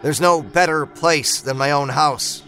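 A man's voice: fairly high pitch, measured pace, sharp tone. A man voicing an upset, stubborn boy in a horror story, plaintive and insistent, over background music. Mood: angry. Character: upset, stubborn, uneasy boy taken from home. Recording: music bed behind voice.

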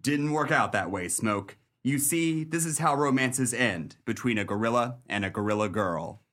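An actor playing a tough, smart 1930s police detective in a pulp crime radio play, with clipped, slangy delivery. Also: male voice, mid-range voice, expressive, normal speed, fairly bright tone, close to an everyday voice. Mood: scornful. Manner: clipped, slangy, hard-boiled.

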